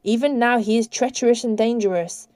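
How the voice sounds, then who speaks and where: Woman as a grave, soft-spoken male guardian. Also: a little high, no room sound, studio quality, close to an everyday voice. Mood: grave warning. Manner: soft-spoken, measured warnings.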